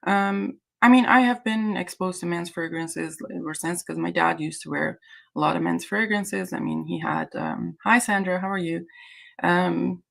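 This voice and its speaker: Creaky low-voiced woman, native Azeri speaker. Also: normal speed. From Iran. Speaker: livestream host. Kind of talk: casual livestream chat.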